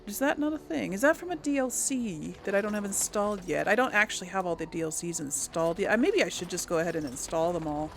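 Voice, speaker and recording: A woman doing casual let's play commentary, musing aloud in a halting way. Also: medium pitch, expressive, a little hiss or hum. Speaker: gaming channel host.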